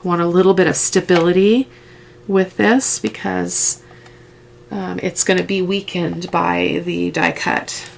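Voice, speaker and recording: Middle-aged woman, head cold, croaky and fry-heavy, chatty step-by-step crafter with faint background hiss. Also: on the low side, measured pace. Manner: chatty step-by-step instructions with asides. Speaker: craft vlogger. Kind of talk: casual crafting tutorial.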